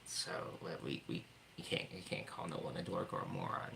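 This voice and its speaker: A man's voice: low voice, lively intonation, a little fast, creaky, noisy background. A man hosting a casual livestream, ranting with lots of repetition and stumbling restarts. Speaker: streamer host.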